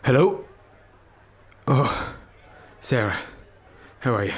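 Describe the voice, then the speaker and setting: A man playing a weary, calming, plain-spoken Irish father in a naturalistic radio drama. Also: mid-range voice, slow, noisy background. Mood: awkward, subdued.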